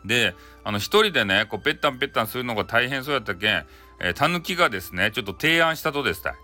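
A man's voice: mid-range voice, animated, racing, pushed and bright, soft background music. A man with a Hakata accent telling a bedtime folktale in a casual, chatty, colloquial way. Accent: Hakata, Japan. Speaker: storyteller.

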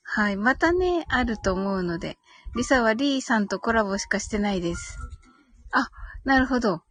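A woman's voice: on the high side, animated, normal speed, a bit bright, breathy edge. A woman hosting a casual live audio stream, reading out listener comments and replying in a polite, agreeable way with frequent little murmurs of acknowledgement. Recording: music playing behind the voice. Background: background music.

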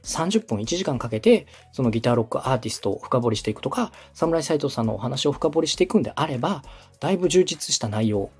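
A man's voice: medium pitch, animated, fast, few pauses, slightly rough, faint music behind. A man giving structured, polite advice as a radio director coaching a podcaster, methodical and instructive. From Osaka, Japan. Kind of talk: structured advisory talk, polite explanation.

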